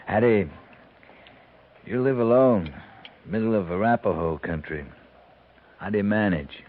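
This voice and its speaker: A thin old radio western recording features a wounded American cavalry captain. His lowish voice is measured and polite, with terse, quiet authority. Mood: calm, probing. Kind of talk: 1950s radio western acting. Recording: tinny, narrow-band 1950s radio recording.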